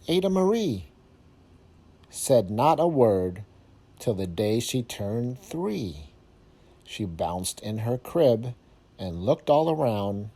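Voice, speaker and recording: A man reading a rhyming picture book aloud to young children, with expressive, measured phrasing and emphatic pauses. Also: lowish voice, slightly rough.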